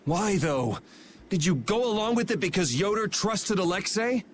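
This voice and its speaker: A man's voice: high voice, expressive, normal speed, noisy background. An actor playing a brash, cynical young swordsman with a blunt, sarcastic manner in a video game. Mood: accusing. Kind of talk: English video game voice acting.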